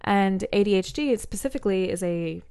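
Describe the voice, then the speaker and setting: A woman guest in a casual chat, explaining psychology with many pauses as she searches for words. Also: medium pitch, warm tone, clear, resonant tone.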